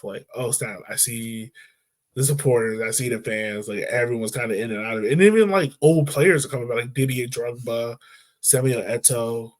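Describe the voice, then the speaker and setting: A man co-hosting a casual sports podcast, talking loosely and filling his points with "like" and "right?". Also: medium pitch. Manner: laid-back, chatty, lots of "like" and "right?". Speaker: podcast co-host.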